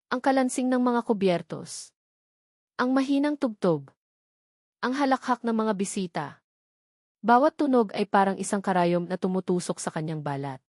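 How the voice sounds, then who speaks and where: A woman reading a scripted romance story aloud in a measured, dramatic storytelling manner. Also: mid-range voice, darkish tone, dry recording, clean studio sound. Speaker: narrator.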